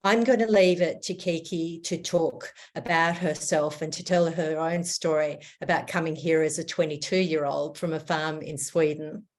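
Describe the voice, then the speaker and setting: Woman, low pitch, measured formal delivery, host introducing a speaker. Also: steady tone. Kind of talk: welcome and introduction for an online session.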